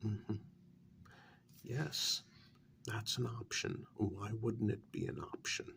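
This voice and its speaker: A man playing a stern, sardonic interrogator of a captive vampire in a soft-spoken ASMR role-play, halting and probing, drifting into whispers. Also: lowish voice, vocal fry, exaggerated voice. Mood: blunt, measured.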